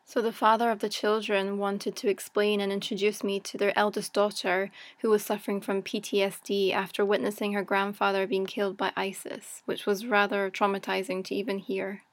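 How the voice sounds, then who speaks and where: A woman giving explanatory voice-over narration in a measured, plain-spoken way. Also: medium pitch, completely flat delivery, a little hiss or hum. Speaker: documentary narrator and aid fundraiser.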